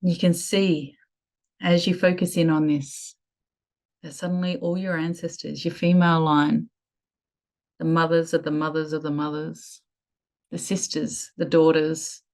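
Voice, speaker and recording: Low-pitched woman guiding a meditation. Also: flat delivery, darkish tone. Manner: soothing, measured, with lingering pauses. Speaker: meditation guide. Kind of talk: guided meditation.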